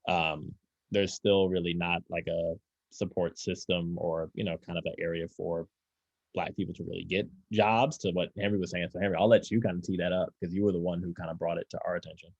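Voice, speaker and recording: A man hosting a podcast, quickly setting up the next topic and passing it to a co-host. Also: deep voice, little pitch movement, no echo. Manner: quick, hands topics off to others. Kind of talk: moderating podcast discussion. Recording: dull, dry sound.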